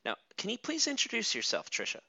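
A bright interviewer with a lively, swooping delivery asks questions, sometimes stumbling over uhs. The recording is dull and lo-fi, with a dry sound. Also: medium pitch, gravelly, no echo. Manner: lively questions with some halting fillers.

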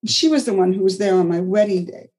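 A woman's voice: medium pitch, fast, darkish tone, voice pushed up, natural voice. A woman voicing a distraught young mother who is bitter and defensive.

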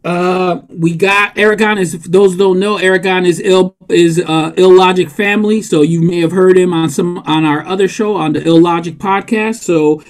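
A man's voice: high-pitched, steady tone, normal speed, few pauses, faint music behind. A man hosting a casual online podcast, laid-back and joking, with many fillers. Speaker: podcast host.

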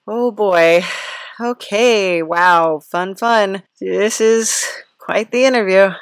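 A woman's voice: medium pitch, takes it slowly, smooth, no echo. A woman hosting a comic interview in a casual, rambling, hesitant way with a wry touch. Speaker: podcast host, interviewer.

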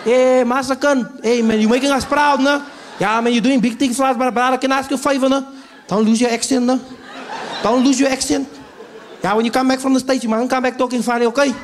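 A man's voice: very high-pitched, fairly even intonation, fast talker, slight background noise, pitched above the performer's own voice. A man playing an over-familiar South African fan, pleading insistently and tagging his phrases with questions. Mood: pushy, pleading.

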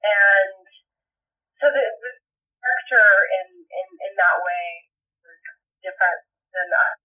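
Woman on a muffled distant phone line. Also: medium pitch. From Puerto Rico. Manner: halting, many restarts, laughs often. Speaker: actress guest. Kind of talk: casual interview.

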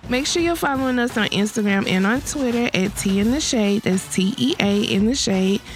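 A woman's voice: fairly high pitch, rough recording. Easygoing young woman podcast co-host. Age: young adult. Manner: relaxed, easygoing, quick affirmations. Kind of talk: casual chat.

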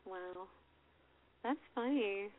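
A woman's voice: mid-range voice, extremely slow. A woman hosting a casual radio interview, bubbly and chatty, laughing often between questions. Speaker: radio show host. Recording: phone-like lo-fi audio.

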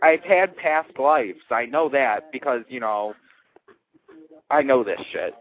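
A man's voice: mid-range voice, expressive, takes it slowly. A twenty-year-old man calling a radio show, chatting casually and confessionally in a blunt, sweary, self-deprecating way. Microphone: telephone. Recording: tinny phone line.

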